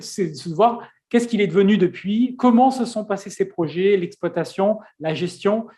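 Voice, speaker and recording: High-voiced chatty man hosting. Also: a little mellow. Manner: chatty, many fillers and restarts. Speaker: host. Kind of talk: casual interview.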